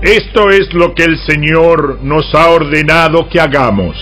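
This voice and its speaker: Higher-voiced Moses, addressing his people. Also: unhurried, fairly bright tone, faint music behind. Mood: solemn announcing. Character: Moses, male leader announcing to his people. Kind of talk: dramatized audio Bible acting.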